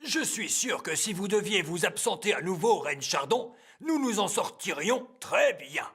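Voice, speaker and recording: A man voicing a deferential, earnest elf in a French cartoon, with a raspy, forceful voice, eager to please. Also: sits high, animated, a little slow, heavily acted.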